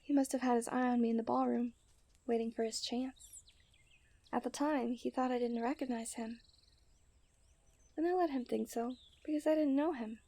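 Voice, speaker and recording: A young woman playing a coy, refined and tender princess in a romantic ASMR roleplay, speaking in playful storybook diction that often softens to a near-whisper. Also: a little high, fairly even intonation, normal speed, pauses often, no room sound. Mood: tender, earnest. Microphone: close studio-style microphone.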